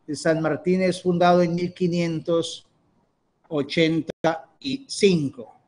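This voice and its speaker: A middle-aged man, a priest, explains a map in a halting way with many restarts. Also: fairly high pitch. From Colombia.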